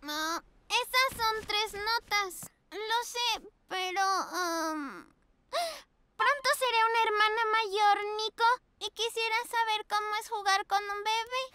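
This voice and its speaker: A woman voicing an eager, sweet little pug puppy girl in a children's cartoon, polite and excitable. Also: very high voice, takes it slowly, exaggerated voice. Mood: wistful, pleading. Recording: music behind the voice.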